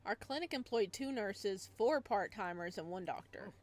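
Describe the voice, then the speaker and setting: A woman's voice: medium pitch, expressive, normal speed. A woman hosting a playthrough, reading visual novel narration aloud expressively, with casual asides.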